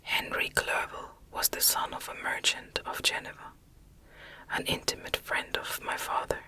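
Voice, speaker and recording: A woman's voice: moderate pace, bright, forceful tone, low-quality recording. Woman whispering an ASMR story reading. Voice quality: breathy unvoiced whisper. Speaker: ASMR audiobook reader.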